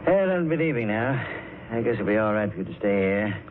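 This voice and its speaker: An actor playing a courteous but probing police officer in a 1940s radio drama. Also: male voice, lowish voice, big pitch swings, a little fast. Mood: polite, probing. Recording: noisy, tinny 1940s radio recording.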